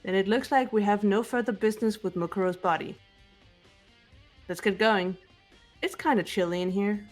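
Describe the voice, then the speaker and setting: A woman's voice: mid-range voice, soft background music, natural voice. Woman voicing a composed teen girl detective; level, lecturing, precise delivery, mid-range voice. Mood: cool. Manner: calm, measured, lecturing. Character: cool, analytical teenage girl detective. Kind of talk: amateur voiced game playthrough.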